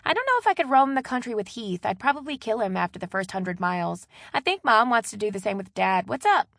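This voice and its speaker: A woman voicing a bossy, exasperated younger sister in an audiobook, loud, emphatic and full of complaint. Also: mid-range voice, big pitch swings, quick pace, gravelly, no echo, studio quality, voice pushed up, exaggerated voice. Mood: chatty, animated. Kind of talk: audiobook character dialogue.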